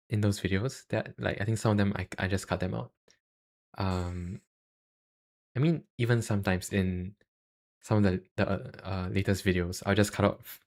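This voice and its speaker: Man, young adult, Singaporean accent, slightly low creaky voice, slow halting delivery, casual self-deprecating stream chat. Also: a little mellow. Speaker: YouTuber and live streamer. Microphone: cheap USB microphone.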